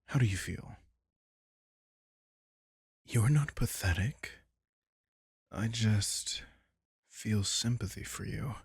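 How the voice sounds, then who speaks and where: A man playing a caring, wry vampire king in an intimate comfort roleplay audio drama, talking casually and softly with dry teasing. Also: on the low side, breathy edge, no echo, exaggerated voice. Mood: gentle, sympathetic.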